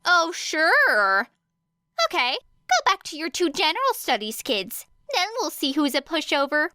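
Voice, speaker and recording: A woman's voice: sits high, very animated, a little slow, bright, forceful tone, dry recording, pitched above the performer's own voice, exaggerated voice. A woman voicing a manic, teasing, theatrical man who works as a radio host, in an acted audiobook. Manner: exaggerated, playful, needling.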